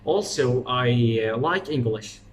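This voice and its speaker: A teenage boy from Iraq, a native Arabic speaker with an Iraqi Arabic accent, explaining in a vlog and pausing often with filler sounds. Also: mid-range voice, animated, a little hiss or hum. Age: teens. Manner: hesitant, many filler uh's, non-native phrasing. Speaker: vlogger.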